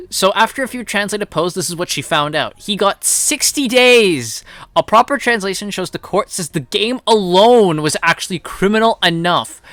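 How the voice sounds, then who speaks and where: A man's voice: a little high, sharp tone, dry recording. A man giving unscripted, opinionated commentary in a blunt, indignant manner with emphatic swearing. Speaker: commentary channel host.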